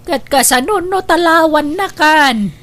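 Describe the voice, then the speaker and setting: A woman's voice: sits high, lively intonation, a little slow, fairly bright tone. A woman playing a questioning, protective grandmother in a radio drama. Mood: worried.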